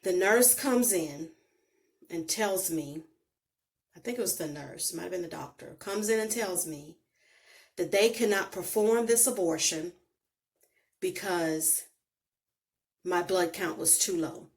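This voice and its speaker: A middle-aged Bahamian woman sits talking to her phone, speaking in a fairly low voice. She takes her time, leaving long deliberate pauses as she looks back on her own life story. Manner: slow, deliberate, reflective delivery with emphatic pauses. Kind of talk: personal storytelling to camera. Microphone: phone on a holder.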